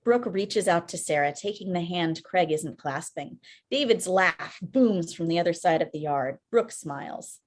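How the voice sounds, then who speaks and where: A woman's voice: lowish voice, expressive, a little slow. A woman reading scripted screenplay stage directions as narrator on a video call. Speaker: filmmaker, stage-direction reader.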